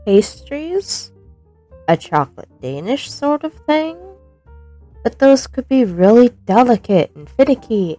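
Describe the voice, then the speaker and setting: Slow-paced woman reading fan fiction. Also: mid-range voice, a little mellow. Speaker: fan fiction writer and narrator. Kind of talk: informal intro and expressive storybook-style reading.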